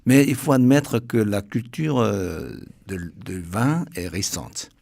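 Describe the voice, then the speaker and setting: Chinese-accented man, halting speech. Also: fairly low pitch, animated, vocal fry, a little mellow. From China. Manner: halting, many restarts and fillers. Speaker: guest author. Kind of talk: radio interview.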